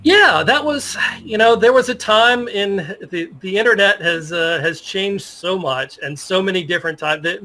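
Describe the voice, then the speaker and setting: Middle-aged man, American accent, high voice, bright and forward tone, chatty interview guest reminiscing. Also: normal speed, few pauses. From United States. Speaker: interview guest, sports journalist. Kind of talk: casual interview conversation, reminiscing.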